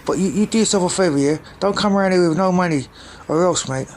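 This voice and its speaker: A man putting on a brash young street dealer, pitched well up and loud, with a strange strained tone. He scolds in rough slang, pushy and threatening, in a spoken story. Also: quick pace, slight background noise, natural voice. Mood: scolding.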